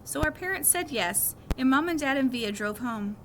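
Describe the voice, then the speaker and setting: A woman's voice: sits high, expressive, moderate pace. A woman reading a children's novel aloud as a first-person story. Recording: clearly noisy background.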